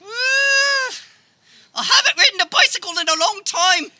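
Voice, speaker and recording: A woman playing a dim, cowardly, excitable male suitor in a live radio farce, with a hesitant, literal-minded delivery. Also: very high voice, full character voice. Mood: fussy, evasive. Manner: hesitant, literal-minded, exclamatory, drawn-out words. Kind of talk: comic radio theater acting before a live audience. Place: backyard, before a live audience. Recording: noisy live backyard recording.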